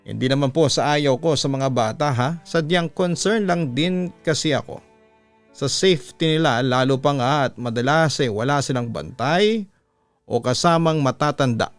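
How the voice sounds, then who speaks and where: Smooth-voiced Filipino man telling horror stories. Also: mid-range voice. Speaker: radio announcer and horror story narrator. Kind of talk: dramatic reading of a listener's letter. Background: music bed.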